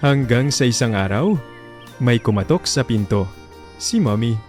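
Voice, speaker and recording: A man's voice: mid-range voice, animated, a little slow, a little mellow, soft background music. A man with a Filipino accent hosting and narrating a scripted radio love story in a reflective, sentimental way. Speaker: radio drama host and narrator.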